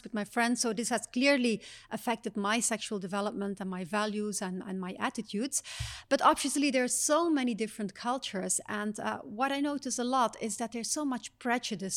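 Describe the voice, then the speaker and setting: A woman from Belgium hosting a podcast as a psychologist, speaking in a measured, explanatory way with frequent fillers. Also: on the high side. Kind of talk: podcast conversation.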